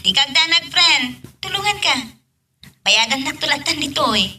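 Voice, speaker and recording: Actress as breezy, helpful girlfriend, radio drama; high, very sharp voice, off-mic with slight noise. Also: expressive, moderate pace, heavily acted. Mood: reassuring. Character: helpful, breezy female friend offering overseas work. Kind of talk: amateur radio-style drama acting. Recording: distant mic with faint noise.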